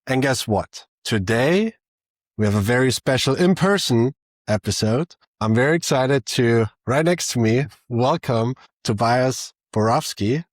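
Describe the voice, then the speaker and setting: A man's voice: medium pitch, animated, measured pace, no echo. Male podcast host, dry. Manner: friendly, conversational questioning. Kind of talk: interview hosting.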